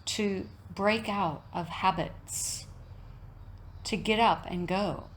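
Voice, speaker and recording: A woman leads a meditation talk as a yoga teacher, speaking very slowly at a medium pitch. Her phrasing is deliberate, with frequent pauses between short phrases. There is faint ambient noise behind her voice. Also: steady tone, poor audio. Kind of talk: guided meditation talk, reflective and instructional.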